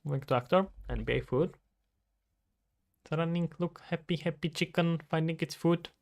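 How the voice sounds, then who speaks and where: A man's voice: fairly high pitch, normal speed, vocal fry. A man, chatting casually on a livestream as he works and thinking aloud to himself and his viewers. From Czech Republic. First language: Czech. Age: 20s. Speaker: streamer, indie game developer. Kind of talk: casual livestream chat while working. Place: indoors at a computer.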